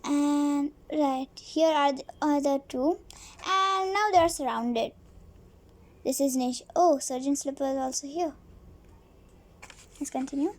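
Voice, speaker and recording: High-pitched child slowly reading a storybook aloud. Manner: stumbling over words, repeating phrases.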